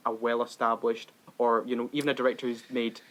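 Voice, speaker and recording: A man's voice: medium pitch, level tone, a little fast, fairly bright tone, low-quality recording. Opinionated man in casual discussion. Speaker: podcast co-host. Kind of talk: casual discussion and film critique.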